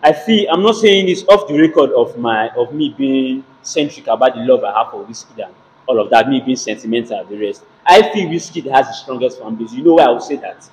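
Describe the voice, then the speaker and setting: A man's voice: mid-range voice, lively intonation, fairly quick, a little mellow. A young man with a Nigerian accent chatting and debating casually, emphatic and repeating himself to get his point in. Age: young adult. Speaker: participant in a music debate. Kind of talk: casual debate chat, English mixed with Pidgin. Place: indoor gym, medium-sized room. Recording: noisy gym room pickup.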